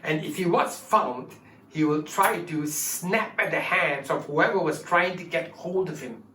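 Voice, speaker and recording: A man telling a story to an audience in a playful, lively manner, leading them in movement games before the tale. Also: fairly high pitch, measured pace. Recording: echoey room, distant mic, guitar music.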